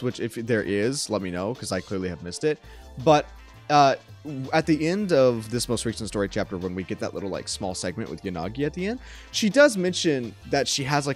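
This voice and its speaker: A young man doing casual, enthusiastic and rambling reaction commentary as a streamer. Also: mid-range voice. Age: young adult. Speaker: streamer reacting to a game trailer. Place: small room at home. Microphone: close desk microphone.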